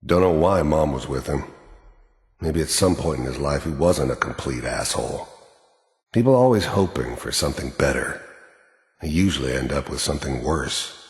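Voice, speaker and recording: Very deep, gravelly, weary hired killer. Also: male voice, moderate pace. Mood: regretful. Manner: world-weary, terse, brooding, plain-spoken with tough-guy drawl. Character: weary, hardened middle-aged mercenary killer, bitter and cynical. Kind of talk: video game character monologue. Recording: echoey with long reverb tail.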